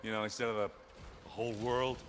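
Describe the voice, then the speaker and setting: An actor playing a wry, grumbling, good-hearted warrior sidekick in a video game, talking in a colloquial way. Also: male voice, lowish voice, little pitch movement, fairly quick, close to an everyday voice. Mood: grumbling, alarmed. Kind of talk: video game cutscene acting. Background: loud game score.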